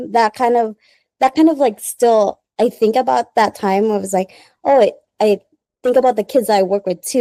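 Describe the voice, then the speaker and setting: Woman, from the Philippines, casual interview guest, rambling and chatty, mellow tone, dry sound. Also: mid-range voice, no room sound. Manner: chatty and rambling, many "like"s, laughs often. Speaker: guest, small business founder.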